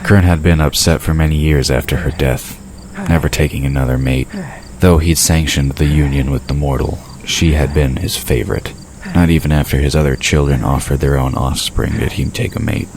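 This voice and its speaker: A man's voice: extremely low pitch, fairly even intonation, normal speed, gravelly, a little mellow, slight background noise. A man narrating a fantasy audio drama in a scripted storytelling style.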